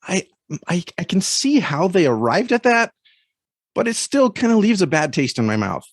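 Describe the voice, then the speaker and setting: A man speaking as a guest critic in a thoughtful podcast conversation, measured and often repeating words as he chooses them. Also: high-pitched, big pitch swings, no echo, studio quality.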